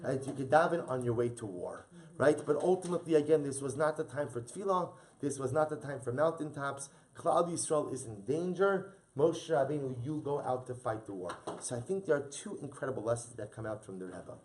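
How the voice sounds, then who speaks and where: A man's voice: mid-range voice, lively intonation, fairly quick, few pauses, a little mellow, breathy edge. A man teaching a Torah class as a rabbi. He reads Hebrew source text aloud, explains it in English, and repeats his key points for emphasis.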